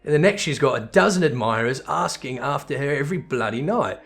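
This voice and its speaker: A man voicing a gruff, wry tavern keeper who teases and spins tales in rough, colloquial speech, as in a fantasy game, with music behind. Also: medium pitch, expressive, fairly quick. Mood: wry storytelling. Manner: colloquial, teasing, rough-spoken. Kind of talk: character voice reading video game dialogue.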